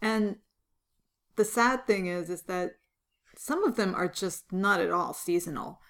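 A woman's voice: fairly low pitch, measured pace. A woman co-hosting a casual podcast chat, her voice a little scratchy, talking through details and chuckling now and then. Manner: relaxed, chuckling, describes details. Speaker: podcast co-host.

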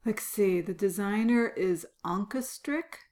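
A woman's voice: medium pitch, unhurried. A woman co-hosting a casual podcast chat, her voice a little scratchy, talking through details and chuckling now and then. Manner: relaxed, chuckling, describes details. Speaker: podcast co-host.

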